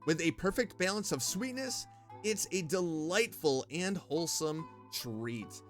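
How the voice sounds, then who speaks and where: A man's voice: fairly high pitch, expressive, a little slow, soft background music. A man streaming a game and reviewing sponsored snacks in an upbeat, chatty way. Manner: upbeat and chatty, reading ad copy in between. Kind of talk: casual gameplay commentary and sponsored snack review.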